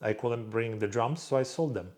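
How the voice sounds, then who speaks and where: A young man from Croatia speaks in a relaxed vlog Q&A. He answers fans off the cuff, bluntly, and swears freely. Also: medium pitch, moderate pace. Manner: blunt, swears freely, reads viewer questions aloud in a louder voice. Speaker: vlog host answering viewer questions. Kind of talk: casual Q&A monologue.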